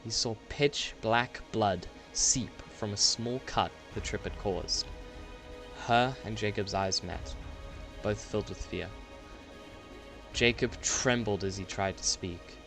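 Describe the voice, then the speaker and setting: A man's voice: on the low side, slow, gravelly, music in the background, low-quality recording. A young man reading scripted dramatic narration for a student radio play. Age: young adult.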